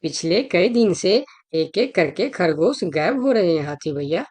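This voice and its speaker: A woman's voice: fairly low pitch, lively intonation, a little slow, a little mellow. A woman voicing a worried rabbit in a Hindi children's cartoon, making an earnest appeal. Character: worried rabbit petitioning for missing companions.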